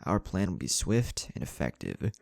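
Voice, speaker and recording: A man's voice: deep voice, wildly swooping pitch, moderate pace, creaky, dry recording, exaggerated voice. A man voicing a defiant young male ninja hero in a story, firm and irreverent.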